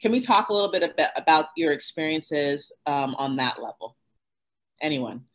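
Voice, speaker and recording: A woman's voice: low voice, expressive, moderate pace. A woman hosting a casual panel conversation, asking open questions with affirming asides and fillers. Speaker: host.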